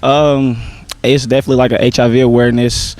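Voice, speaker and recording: A young man, a stage actor, answering interview questions in a relaxed, slangy way with many pauses and "um"s. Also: medium pitch. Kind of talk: casual interview chat.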